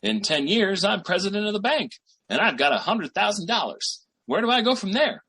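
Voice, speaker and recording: An actor playing a boastful, slangy small-time schemer boyfriend in 1940s radio sitcom style, with a raspy voice. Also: male voice, high-pitched, animated, brisk, sharp tone, heavily acted. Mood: grandiose scheming. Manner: slangy, bragging, theatrical hustler patter.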